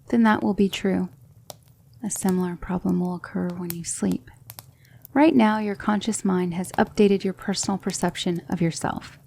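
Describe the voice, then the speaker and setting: A gentle demonic mentor of magic, played by a woman, guides in an ASMR roleplay. The voice is soft and dark with a gravelly fry, measured and reassuring, often sinking to a whisper. A faint noise sits behind it. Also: mid-range voice, natural voice. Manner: measured, instructive, hushed.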